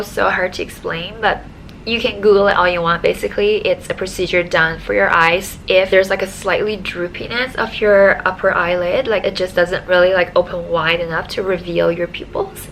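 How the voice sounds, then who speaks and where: A young woman talks to her viewers in a loose, chatty vlog manner, her voice stuffed-up and nasal with a dry, slightly raspy edge. Her tone is bright and forward. A faint hiss of background noise sits under the recording. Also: mid-range voice, low-quality recording. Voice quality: nasal, congested. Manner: chatty, frequent "like" fillers. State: dry raspy throat after surgery. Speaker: vlogger. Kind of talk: casual vlog talk to camera.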